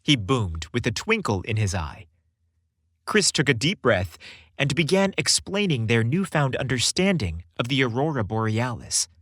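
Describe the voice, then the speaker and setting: A male narrator delivers scripted storybook prose with dramatic flair. His mid-pitched voice moves in lively swings, and the recording is dry with no room sound. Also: moderate pace, breathy edge, no echo.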